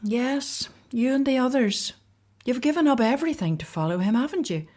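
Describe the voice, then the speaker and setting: An actress playing a practical, kindly woman of the house in a radio play, direct and gently probing. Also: high-pitched, expressive, normal speed.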